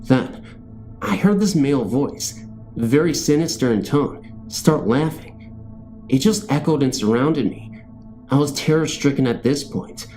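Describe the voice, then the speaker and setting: Male narrator for bedtime ghost stories: mellow, warm timbre, measured scripted read, light music bed. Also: mid-range voice, expressive. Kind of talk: scripted narration of scary first-person stories. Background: background music.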